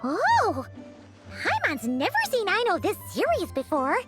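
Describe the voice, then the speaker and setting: Tiny female fairy companion, game voice, squeaky high, wildly animated, excitable, chirpy, bold, music behind. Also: unhurried, full character voice. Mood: surprised, eager. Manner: chirpy, exclaiming, refers to herself by name. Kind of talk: video game voice acting.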